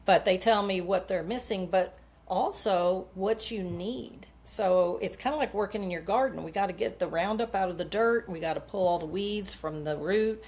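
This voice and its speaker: A woman's voice: medium pitch, moderate pace, hardly any pauses, darkish tone. A middle-aged woman coach explaining her method earnestly in an interview, using homely comparisons. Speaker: subconscious coach, guest. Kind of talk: podcast interview answers.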